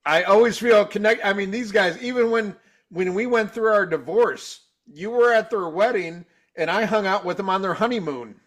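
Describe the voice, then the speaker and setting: Blunt, swearing fifty-one-year-old host. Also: male voice, high-pitched, normal speed, a bit bright. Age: 50s. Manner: blunt, profane, laid-back. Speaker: podcast host. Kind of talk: casual chat.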